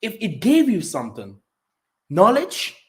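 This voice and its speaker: Animated older man reading scripture. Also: high voice, unhurried. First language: German. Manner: rambling, animated, reads Bible verses aloud, frequent throat clearing. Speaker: channel host. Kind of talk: livestream monologue with scripture reading.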